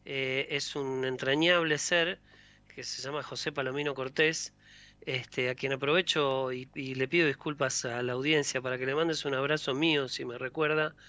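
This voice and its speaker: A man's voice: medium pitch, steady tone, moderate pace, pushed and bright. A man who is an actor, hosting a radio interview, speaking in a courteous, formal way. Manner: courteous and formal, addresses others politely.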